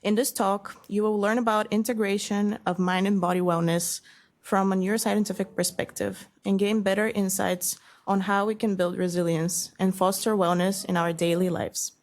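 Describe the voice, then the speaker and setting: A woman's voice: mid-range voice, fairly even intonation, moderate pace. Woman host introducing a speaker. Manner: hesitant, many fillers, then reads bio. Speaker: seminar host. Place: lecture room.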